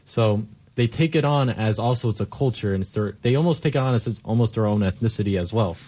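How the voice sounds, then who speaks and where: A man's voice: fairly low pitch, fast talker, dark tone. Chatty young man on the radio. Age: young adult. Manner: chatty, many likes. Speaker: radio show guest. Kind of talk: casual discussion.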